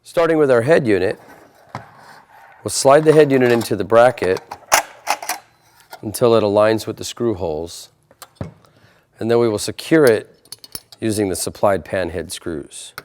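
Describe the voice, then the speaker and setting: A man's voice: on the low side, unhurried, pauses often, a little mellow, slight background noise. A man presenting an installation tutorial in a methodical, plainspoken way, explaining each step in turn. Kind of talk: step-by-step instructional narration.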